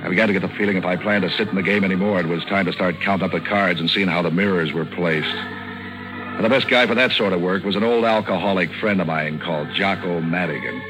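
An actor in a 1940s radio drama playing a cynical, wisecracking troubleshooter, narrating and talking in deadpan slang. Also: male voice, sits low, fast, music in the background, natural voice, poor audio. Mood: wry, weary. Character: cynical, wisecracking hard-boiled male private troubleshooter, narrating. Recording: vintage 1940s radio recording.

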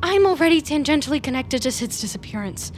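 A woman's voice: high voice, animated, quick pace, a little hiss or hum. A woman playing an intense, wounded, obsessive young woman in an audio drama, talking in a wordy, sarcastic, insistent way. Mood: urgent, pressing. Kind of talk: audio fiction drama acting.

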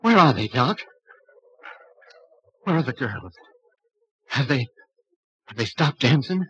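A man's voice: mid-range voice, big pitch swings, takes it slowly, a bit bright, slightly rough, full character voice. An actor playing a timid, superstitious small-town man in an old-time radio horror drama, folksy, nervous and hesitant. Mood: frightened. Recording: dull vintage radio recording.

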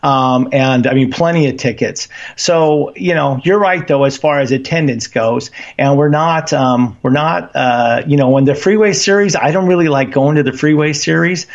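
Animated guest fan, man, fairly high voice, halting delivery, muffled lo-fi line. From Southern California. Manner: animated, halting with many fillers. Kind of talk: casual podcast chat. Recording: dull lo-fi line.

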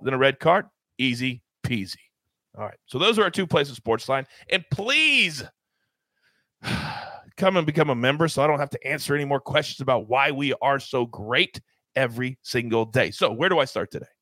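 Male betting-show host, high-energy and showy, crisp bright tone, dead-dry studio sound. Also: animated, fairly quick, no echo. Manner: hyped, showy, playful swings in pitch. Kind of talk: sports betting show hosting.

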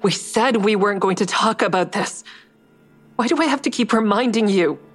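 A woman's voice: mid-range voice, moderate pace, faint music behind, natural voice. An actress playing a guarded, stressed woman investigator who also narrates in a first-person audio drama. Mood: tense, guarded. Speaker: narrator and lead character.

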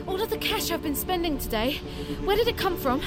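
A woman's voice: extremely high pitch, expressive, brisk. An actress playing an impulsive, generous young British woman, anxious yet determined, in an audio drama. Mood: confused. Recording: very loud music behind.

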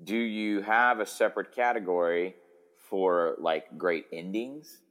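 A man hosting a sports podcast, hoarse and hungover, rambling casually with a sardonic streak. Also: low voice, a little slow, fairly bright tone. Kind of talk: casual football podcast chat.

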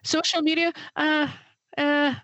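A woman's voice: high voice, little pitch movement, takes it slowly, a bit bright, dry recording, muffled recording. A woman with a Midwestern accent, a guest author on a podcast interview, explaining her subject at length in an easy, informal way. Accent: Midwestern American.

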